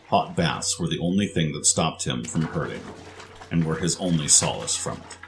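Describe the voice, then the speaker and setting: A man's voice: low-pitched, creaky, background noise. A man narrating a scripted fantasy story over music.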